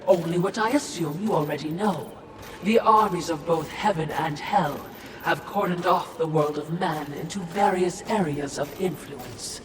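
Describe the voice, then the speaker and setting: A woman's voice: fairly low pitch, background noise, natural voice. A woman as sly, echoing servile spirit. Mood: sly, knowing. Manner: formal, insinuating, deliberate. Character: Watcher, sly, servile female shadow spirit attending her mistress. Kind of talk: video game cutscene acting. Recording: echoing, distant-sounding voice over music.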